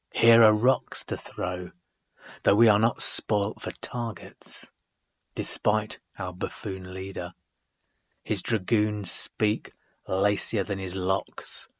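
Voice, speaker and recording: A man reading his own poetry dramatically, in measured, deliberate phrases broken by frequent pauses. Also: lowish voice, slightly rough, no room sound, dull audio. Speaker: poet reading own work.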